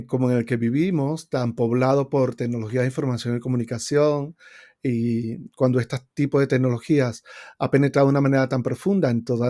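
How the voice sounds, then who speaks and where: A man's voice: medium pitch, warm tone. A man from Venezuela with a Venezuelan accent, answering interview questions thoughtfully with many fillers and restarts. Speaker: guest researcher.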